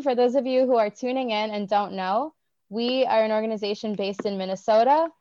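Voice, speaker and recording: A woman's voice: a little high, no room sound, dull audio. A woman program director welcoming a livestream audience in a cheerful, chatty way with frequent ums. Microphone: video call.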